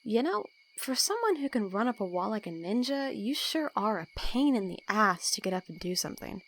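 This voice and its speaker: A woman's voice: mid-range voice, very animated, normal speed, a bit bright, rough recording. A woman playing a sarcastic, bossy young assassin roommate with dry, teasing delivery in an audio roleplay. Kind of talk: casual audio roleplay acting.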